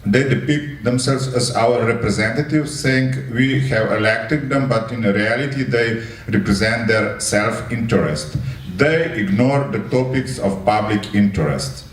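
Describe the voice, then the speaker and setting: A man with a Slovenian accent speaking and reading haltingly at a public event. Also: mid-range voice. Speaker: civil servant on a panel. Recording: echoey room, far from mic.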